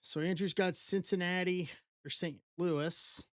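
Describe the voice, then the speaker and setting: East Coast woman hosting a live break stream, unhurried list-reading, dry close mic. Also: fairly low pitch, steady tone, no echo. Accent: East Coast American. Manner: slow, reading out a list in short bursts. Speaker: card break host.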